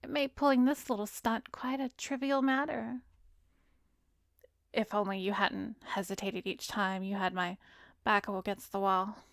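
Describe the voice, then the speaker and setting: A woman playing an arrogant, seductive vampiress who hypnotizes in an ASMR roleplay, breathy and commanding, often slipping into a whisper. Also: mid-range voice, fairly even intonation, moderate pace, no room sound, clean studio sound, close to an everyday voice. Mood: smug, gloating.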